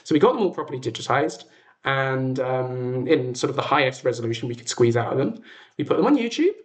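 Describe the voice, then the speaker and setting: A British man speaks at a middling pitch in a chatty, informal livestream style. He tells stories conversationally, hesitating and breaking off to rephrase as he goes. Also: very animated, fairly quick. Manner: chatty, hesitant, self-interrupting. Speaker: livestream host. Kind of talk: casual livestream chat, storytelling.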